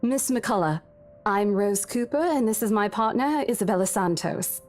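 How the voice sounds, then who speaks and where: A woman voicing a stern but caring young coworker, speaking in a measured, protective way. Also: mid-range voice, expressive, darkish tone, lightly acted. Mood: polite. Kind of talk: visual novel reading.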